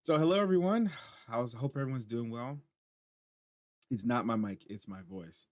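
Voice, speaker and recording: Hoarse, tired man reading patch notes. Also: medium pitch, normal speed, a little mellow, no echo. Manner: chatty, reacts aloud while reading on screen. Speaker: gaming channel host.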